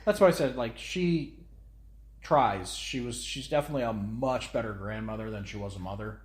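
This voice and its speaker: A man's voice: mid-range voice, moderate pace. A man in his thirties co-hosting a casual parenting podcast, with a commanding voice that carries. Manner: commanding, carrying voice. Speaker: podcast co-host, father. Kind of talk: casual chat. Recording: echoey room.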